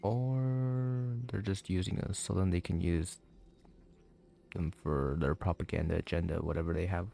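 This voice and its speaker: A man giving casual, halting and skeptical commentary as he plays a video game. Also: low-pitched, fairly even intonation, creaky, warm tone. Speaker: gaming YouTuber.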